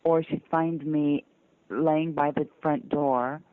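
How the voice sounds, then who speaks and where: An older woman from the American South tells personal stories in an earnest, deliberate way. Her voice is low for a woman and comes through a narrow, dry telephone line. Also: fairly even intonation, no room sound. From southern United States (Georgia, North Carolina). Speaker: interview guest. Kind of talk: personal storytelling in conversation. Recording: muffled narrow phone-line sound.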